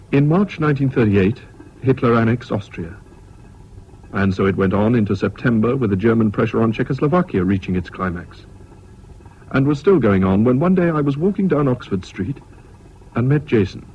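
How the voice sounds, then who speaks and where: Give a picture of a man acting an earnest young English student in a vintage radio play. His delivery is lively and varied, with a well-bred unease. The old recording is dull and slightly hissy. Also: medium pitch, normal speed, pauses often, close to an everyday voice. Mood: measured, reflective. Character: Henry Payne, earnest young Cambridge student narrating his past. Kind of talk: 1970s British radio drama acting and narration.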